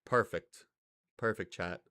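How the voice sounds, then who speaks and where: A man in his twenties from California with an American accent, chatting casually on a live stream in excitable, slangy talk and answering chat messages. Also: slow pace. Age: 20s. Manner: excitable, slangy, swears, says "bro".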